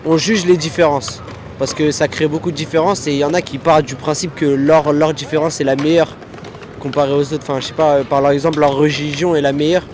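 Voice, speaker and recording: A man's voice: a little high, flat delivery, fast, darkish tone. A young man answering a street interviewer earnestly, searching for his words. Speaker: passer-by interviewee. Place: busy city square outdoors. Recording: street traffic noise.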